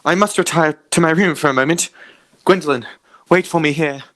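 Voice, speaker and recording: A man playing an earnest, anxious young gentleman in a radio play, formal and insistent. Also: on the high side, fairly quick, no echo, lightly acted. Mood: urgent, agitated.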